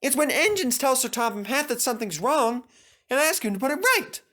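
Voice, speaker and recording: A man voicing a timid, nervous young small engine with a squeaky voice in a children's story. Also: lively intonation, fairly quick, bright, forceful tone, full character voice. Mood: eager.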